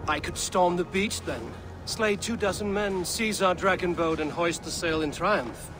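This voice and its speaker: An actor playing a determined Viking warrior in a video game cutscene, firm and terse. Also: male voice, sits high, a little slow, music in the background. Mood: resolute. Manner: firm, terse, dry confidence. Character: determined Viking warrior, man, young adult.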